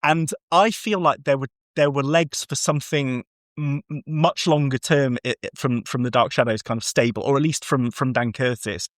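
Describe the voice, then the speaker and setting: A man's voice: mid-range voice, fairly even intonation, normal speed, dry recording. Male film podcaster, mid-range voice, casual, enthusiastic and sweary delivery, close dry sound. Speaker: podcast co-host. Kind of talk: casual film discussion chat.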